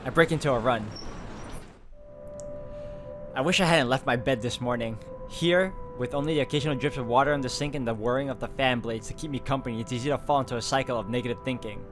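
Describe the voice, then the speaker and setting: A man's voice: mid-range voice, fast talker, hardly any pauses. Man narrating broodingly over guitar music. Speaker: visual novel player and narrator. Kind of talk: dramatic first-person story narration read aloud.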